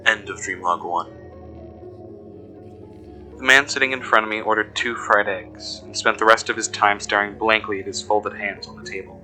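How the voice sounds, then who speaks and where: Casting: uneasy male investigator played by a man for audio horror, low and very forceful, deliberate delivery, faint music underneath. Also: steady tone, poor audio. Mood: measured unease. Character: uneasy male investigator recounting being followed. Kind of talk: scripted first-person horror narration. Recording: music behind the voice.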